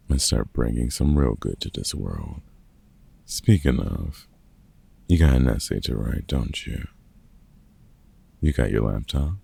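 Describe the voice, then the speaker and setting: A man playing a gruff, protective, middle-aged vampire hunter mentor in a comfort ASMR audio roleplay, gravelly and husky, with a casual, teasing, sweary and halting way of talking. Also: a bass voice, mellow, heavily acted.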